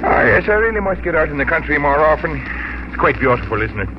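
A man acting an eager, charming young well-to-do gentleman courting someone, in 1950s radio drama style. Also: fairly high pitch, very animated, fast. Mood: smitten, eager. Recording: noisy, muffled 1950s radio broadcast.

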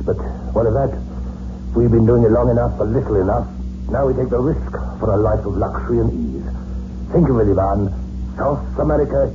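An actor playing a weary spy who yearns for luxury and love, in earnest, theatrical 1960s radio suspense style. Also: male voice, lowish voice, close to an everyday voice. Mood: scheming, dreamy. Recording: noisy, tinny old radio recording.

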